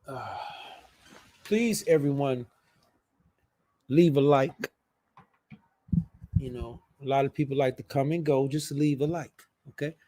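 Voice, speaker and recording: Casting: man, informal online show host, mid-pitched voice, easygoing conversational chat with trailing asides. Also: slow pace, pauses often, mellow. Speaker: live stream show host. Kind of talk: casual live stream chat.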